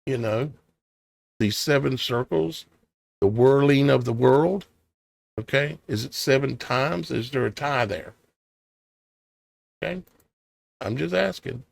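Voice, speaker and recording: A man's voice: mid-range voice, gravelly. A tired man whose voice is starting to go, reading scripture aloud and musing on it with hesitant, questioning asides. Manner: reads old scripture in a measured cadence. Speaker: online preacher.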